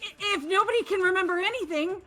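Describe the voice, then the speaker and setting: A woman's voice: very high-pitched, fast talker, fairly bright tone, soft background music, voice pushed up. A woman voicing a nervous, easily flustered young woman in a game, stammering and agitated. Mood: anxious.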